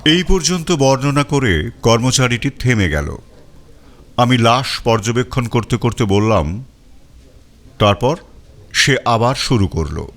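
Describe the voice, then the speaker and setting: An actor playing a composed police detective, narrating his case in measured, expressive audio-story style. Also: medium pitch, slight background noise, heavily acted. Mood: composed, inquisitive. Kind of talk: scripted first-person detective story narration.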